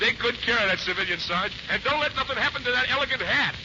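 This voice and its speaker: High-pitched, barking, taunting Marine. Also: male voice, expressive, fast talker. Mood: mocking, bossy. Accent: American. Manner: barking, mocking slang. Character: gruff, taunting Marine bossing a civilian around. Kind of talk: 1940s radio acting. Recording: muffled, noisy 1940s radio recording.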